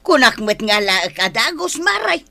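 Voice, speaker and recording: A woman's voice: on the high side, sing-song, normal speed, gravelly, pushed and bright, heavily acted. A woman playing a pushy, whiny village woman in an Ilocano comedy drama, insistent and theatrical, with an Ilocano accent. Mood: whining. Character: pushy, whiny village woman demanding her online order. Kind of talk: Ilocano comedy radio-style drama acting.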